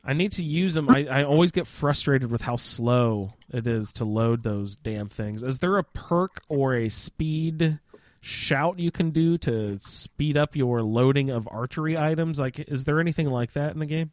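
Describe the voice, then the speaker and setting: A man with an average-pitched voice talks in a casual roundtable about gaming. He is chatty and enthusiastic, and the sound is dry with no room around it. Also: a little slow, no echo. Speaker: podcast panelist.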